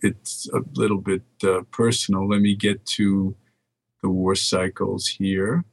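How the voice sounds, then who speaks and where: A man's voice: low-pitched, flat delivery, a little slow, vocal fry, slight background noise. An older man from the Netherlands, a market research guest, chatting casually and reading long lists of dates aloud. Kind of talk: casual phone interview chat.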